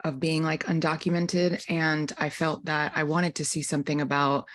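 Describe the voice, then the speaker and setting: Low-voiced reflective woman filmmaker. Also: little pitch movement, normal speed. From North Carolina. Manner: reflective, many ums and likes. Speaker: filmmaker panelist. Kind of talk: casual panel answer.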